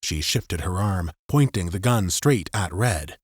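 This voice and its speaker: A man's voice: deep voice, animated, a little slow, slightly rough, no room sound, studio quality. A man narrating dramatic fiction as a scripted audiobook.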